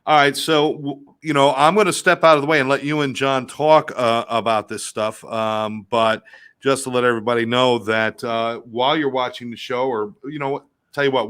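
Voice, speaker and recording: A man's voice: medium pitch, fairly bright tone, clean tone. A man co-hosting a show, upbeat and filler-heavy as he introduces the guest. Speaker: co-host.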